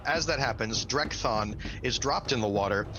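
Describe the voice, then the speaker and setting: A man's voice: mid-range voice, normal speed, background noise. A man narrating a tabletop fantasy game as game master, theatrical and encouraging.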